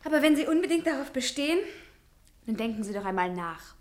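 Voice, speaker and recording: Radio drama casting: young female student, eager schemer, persuasive, distinctly high-pitched. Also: lively intonation. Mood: coy, coaxing. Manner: earnest, persuasive, quick to answer. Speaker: actor in a radio play. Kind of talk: theatrical German radio drama acting.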